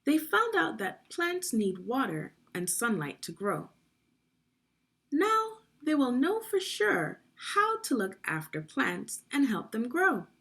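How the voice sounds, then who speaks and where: A woman's voice: high voice, huge pitch swings, takes it slowly, a bit bright, breathy edge. A woman leading a guided read-aloud of a children's science book, teaching and prompting young listeners with questions.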